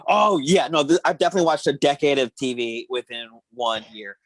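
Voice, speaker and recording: A woman's voice: very deep voice, animated, a little fast, no echo. Female comedian guest, wry and casual, very dry sound. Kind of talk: casual chat.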